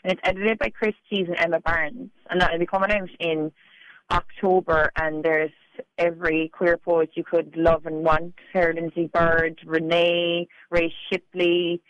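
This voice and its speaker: A woman's voice: fairly low pitch, flat delivery, measured pace, dry recording, muffled recording. A woman with a Cork Irish accent, a poet talking casually in a radio interview, full of "like" and "you know". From Ireland. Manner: chatty, many "like"s and "you know"s. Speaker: poet guest.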